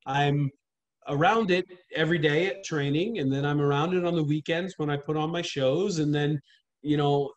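A man in his thirties, a wrestling trainer, talking earnestly and steadily in a casual interview over a video call from a small room at home. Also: a little high. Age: 30s. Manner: measured, earnest, steady explaining. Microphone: webcam on video call.

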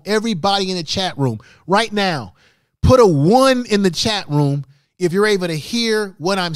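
A middle-aged man with a high-pitched voice hosts a livestream tutorial. He explains things emphatically and repeats his points as he addresses the chat. The sound is dry, from a microphone close to his mouth. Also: no room sound. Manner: emphatic, repetitive, instructive. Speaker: livestream host, YouTube creator. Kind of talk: live-stream tutorial talk to chat room. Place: small home studio room indoors. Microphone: large microphone close in front of mouth.

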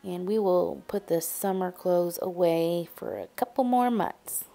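A woman's voice: lowish voice, unhurried, gravelly. A woman in her thirties, a vlogger chatting upbeat and straight to her viewers while decluttering at home. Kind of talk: decluttering vlog voice-over. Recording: music playing underneath.

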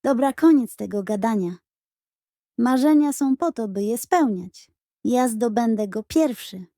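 A woman's voice: on the high side, lively intonation, measured pace, warm tone, no echo, studio quality. Woman voicing Piotrek, a boastful, dramatic boy. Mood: determined. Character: Piotrek, boastful, determined young boy, an older-acting brother.

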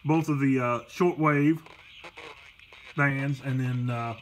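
A man with an average-pitched voice casually walks through a product for sale. He talks plainly and haltingly, unscripted, from behind a handheld camera at home. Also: fairly even intonation, a little hiss or hum. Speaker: online seller demonstrating a car stereo. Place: carpeted room at home. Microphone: handheld camera behind the lens.

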